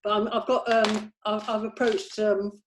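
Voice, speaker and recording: A middle-aged woman with a voice of average female pitch. She chats informally like a producer going over plans, agreeable and chatty, sometimes halting as she picks her words. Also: little pitch movement, noisy background. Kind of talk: casual production meeting chat.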